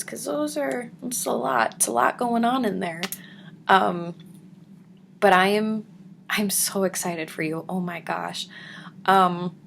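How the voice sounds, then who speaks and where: A young woman with a deep, raspy voice, chatting casually to her viewers at home and slipping into soft whispers. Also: slight background noise. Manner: soft-spoken, drifts into whispers. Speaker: vlogger. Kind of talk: casual chat reading viewer comments.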